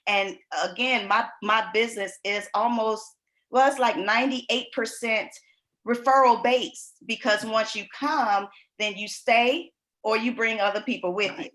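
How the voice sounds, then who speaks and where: Loud, bright-voiced woman coaching writers. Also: a little high, measured pace. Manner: loud, animated, folksy, laughs often. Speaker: publisher and writing coach host. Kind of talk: lively live-stream talk.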